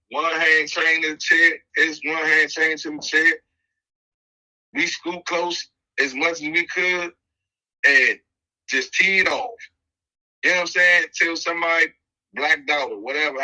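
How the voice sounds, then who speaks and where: A man's voice: a little high, sharp tone, rough recording. A middle-aged American man telling a personal story on a podcast in casual, slangy street talk, emphatic and acting out the dialogue as he goes.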